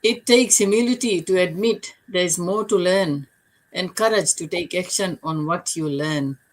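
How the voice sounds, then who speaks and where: Retired woman from Fiji, earnest and steady. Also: fairly low pitch, a little slow. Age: older. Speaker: panelist, retired business mentor. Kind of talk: online panel talk.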